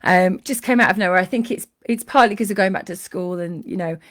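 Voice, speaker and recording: A middle-aged woman with a slightly croaky voice, effusively chatting on her live book show and greeting viewers. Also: mid-range voice, fairly quick. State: a little croaky from a cold. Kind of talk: casual live-stream chat.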